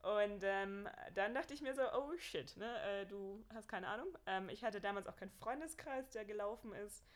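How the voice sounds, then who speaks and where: A young woman with a Bavarian accent speaks as a guest on a casual podcast. Her voice is mid-pitched, and she tells her story in a lively, easygoing way. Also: normal speed, few pauses. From Bavaria, Germany. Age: young adult. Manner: lively, laughs often, storytelling. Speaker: guest, marathon runner.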